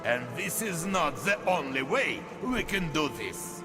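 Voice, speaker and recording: An actor playing a cold, commanding Soviet colonel with a Russian accent and a mockingly polite, menacing manner in a video game. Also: male voice, on the high side, very animated, unhurried. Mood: threatening. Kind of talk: video game cutscene acting. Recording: long hall reverb, music behind.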